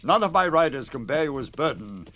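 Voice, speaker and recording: A man's voice: on the high side, big pitch swings, a little fast, sharp tone, full character voice. Stern noble old king. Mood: solemn, firm. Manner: solemn, commanding, formal. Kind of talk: radio drama acting.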